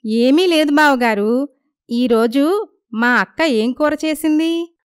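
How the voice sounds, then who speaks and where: A woman's voice: high voice, slow pace, no room sound, clean studio sound, pitched above the performer's own voice. A woman voicing a coaxing, resentful younger village sister-in-law in a moral story, with a complaining manner. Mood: wheedling.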